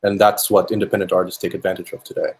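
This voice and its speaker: A man's voice: sits low, little pitch movement, racing, breathy edge. A young man whose first languages are English and Finnish, a musician giving thoughtful interview answers with many fillers and restarts. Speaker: musician guest.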